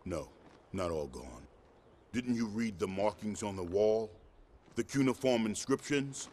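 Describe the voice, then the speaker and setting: An actor playing a knowing, teasing expedition companion, assured and challenging, in a game cutscene. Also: male voice, on the low side, animated, unhurried, darkish tone.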